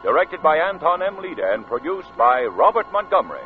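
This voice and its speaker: A man's voice: fairly high pitch, sing-song, a little fast. A man announcing a 1940s radio program in an emphatic, theatrical style. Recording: tinny, band-limited old radio recording. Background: music.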